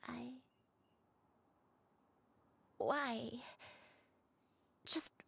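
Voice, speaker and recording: A woman voicing a frail, sorrowful elderly grandmother in a video game, speaking softly, breathily and haltingly. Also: fairly high pitch, fairly even intonation, creaky, slightly rough, dry recording, full character voice. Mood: tender, anguished. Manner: halting, gentle, wistful.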